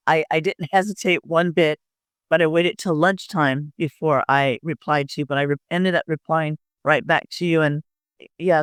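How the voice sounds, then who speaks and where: A woman in her fifties with a smooth, clear voice recalls her own experience in an interview. She speaks haltingly, repeating words as she goes, in a dry recording. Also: deep voice, no room sound. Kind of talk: personal recollection in an interview.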